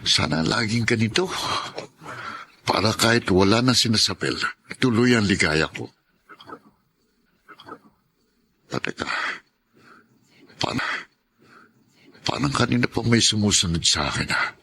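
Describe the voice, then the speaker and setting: A man's voice: fairly low pitch, expressive, normal speed, creaky, long pauses, pushed and bright, husky, full character voice. A Filipino man acting a brutal, vengeful fugitive in a radio drama, muttering bitterly to himself. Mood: gloating, wary. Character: brutal, vengeful fugitive addict, a menacing male villain.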